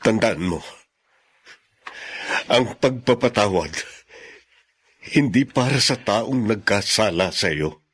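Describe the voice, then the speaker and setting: An actor playing a weary, remorseful middle-aged father in a radio drama, speaking earnestly and in measured phrases with a husky voice. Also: on the low side, expressive, a bit bright, full character voice. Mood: weary, firm. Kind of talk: Filipino radio drama acting.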